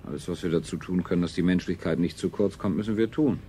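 A man's voice: sits low, quick pace, warm tone, close to an everyday voice. An actor playing a proud, dutiful technologist and family man in a 1970s radio drama, with sober, stiffly sententious delivery. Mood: sober, earnest. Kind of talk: 1970s German science fiction radio drama acting. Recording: faintly noisy 1970s radio recording.

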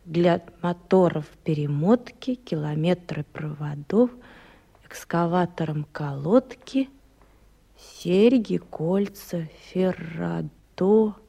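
An actress playing a proud, reserved young woman in a radio play, speaking in a quiet, measured, guarded way. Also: fairly low pitch, lively intonation, gravelly, slightly rough, full character voice. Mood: measured reciting.

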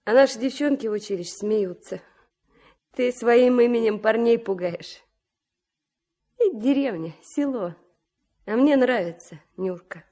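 Husky, high-voiced teasing village girl. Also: dark tone. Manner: teasing, bold, chatty questioning. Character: lively, teasing young village girl, a student accordion player. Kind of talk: Soviet radio drama acting. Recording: 1970s mono radio play recording.

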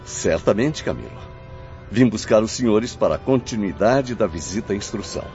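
An actor playing a polite, friendly escort in a radio drama, formal and cordial. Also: male voice, on the low side, expressive, moderate pace, a little mellow. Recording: dull audio, faint music.

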